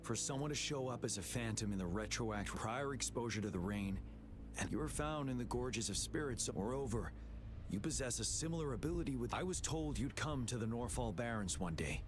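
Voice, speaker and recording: An actor playing a composed, dutiful young general in a video game, speaking in a formal, measured, earnest manner. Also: male voice, medium pitch, expressive, background noise, lightly acted. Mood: formal, courteous. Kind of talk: scripted video game dialogue. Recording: game music behind the voice.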